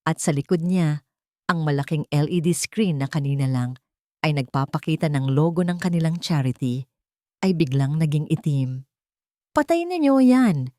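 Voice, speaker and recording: A woman's voice: low voice, moderate pace, mellow, dry recording, clean studio sound. A woman reading a scripted melodrama as a dramatic story narrator.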